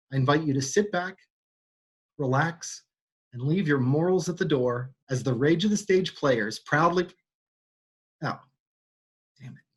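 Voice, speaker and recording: Slow husky-voiced man narrating. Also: fairly high pitch. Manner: dry, sarcastic, self-mocking asides. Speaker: narrator and playwright. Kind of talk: scripted narration of stage directions. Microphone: home microphone, livestream.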